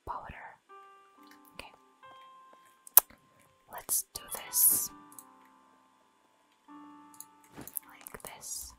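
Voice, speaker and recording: A woman whispering playful, giggly commentary as she plays a barista game, in the manner of ASMR. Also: pushed and bright. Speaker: gamer playing a barista game.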